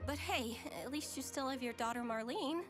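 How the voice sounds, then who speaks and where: A woman's voice: high-pitched, moderate pace, lightly acted. A woman playing a sympathetic, tactful young companion in a game parody. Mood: concerned. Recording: music behind the voice.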